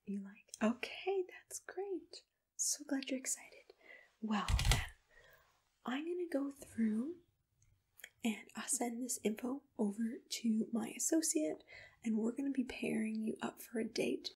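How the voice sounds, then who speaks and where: Breathy, high whispering woman in ASMR roleplay. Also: lively intonation. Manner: gentle, personal, unhurried customer-service address. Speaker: ASMR roleplayer as a matchmaking agency assistant. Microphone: close-up microphone.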